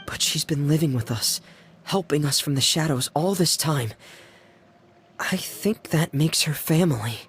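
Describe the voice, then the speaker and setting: Soft-spoken, hesitant teenage boy. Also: a little high, lively intonation, a little slow, slight background noise. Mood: earnest. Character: quiet, earnest, loyal teenage younger brother. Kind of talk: English video game voice acting. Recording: music behind the voice. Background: game music.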